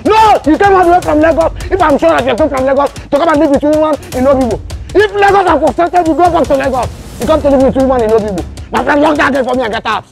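A man acting as a loud, self-important, quarrelsome Nigerian compound caretaker in a comedic film, bossy, repetitive and insulting. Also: very high voice, quick pace, creaky, faint music behind. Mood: scornful, pompous.

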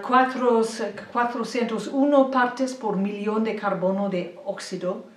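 An older woman giving a slide lecture point by point, in careful, deliberate phrasing of a non-native speaker, speaking in a small indoor room. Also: mid-range voice, far from the mic. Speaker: presenter. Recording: roomy echo, mic set back.

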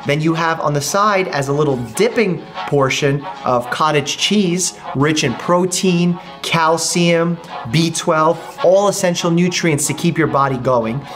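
Young man, upbeat, conversational, slightly high-pitched, home kitchen, faint background music. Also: unhurried, few pauses, fairly bright tone. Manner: upbeat, explains health benefits in an easy conversational way. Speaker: doctor and channel host. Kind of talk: casual explanatory food vlog.

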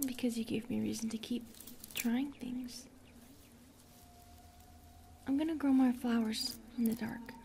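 Woman as a slow, whispering, lonely forest spirit. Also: a little high, creaky, a little hiss or hum. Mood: tender, reassuring. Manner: soft whispering, hesitant, halting, tender. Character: shy, lonely, gentle young female forest spirit of secrets. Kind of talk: whispered ASMR roleplay.

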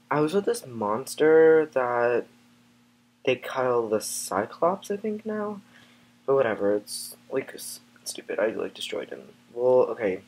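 A man's voice: mid-range voice, low-quality recording. A man playing a vain, boastful Greek hero as a vlogger, talking casually with lots of fillers in a comedic parody. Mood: chatty. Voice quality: casual, filler-heavy, smug delivery.

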